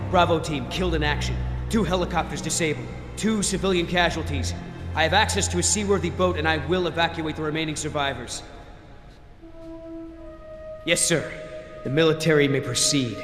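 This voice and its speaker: A man's voice: fairly high pitch, a little fast, natural voice. Ruthless mercenary played by a man, echoing under music. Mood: clipped, official. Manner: clipped military delivery. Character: ruthless treacherous male mercenary, cold and commanding. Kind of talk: dramatic video game voice acting. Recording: echoey hall reverb under loud music.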